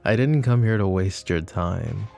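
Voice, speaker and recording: A man voicing a smug, flirtatious mafia boss who teases and taunts the woman questioning him, in soft-spoken roleplay ASMR. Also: deep voice, lively intonation, a little slow, vocal fry, faint music behind, heavily acted. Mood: smug teasing. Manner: teasing, taunting, calls her pet names.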